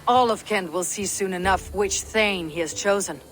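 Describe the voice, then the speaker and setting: A woman's voice: mid-range voice, moderate pace, a little hiss or hum, natural voice, poor audio. An actress playing a pragmatic, resolute Viking warrior woman in a video game, terse and direct. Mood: cool, determined. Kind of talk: scripted video game dialogue.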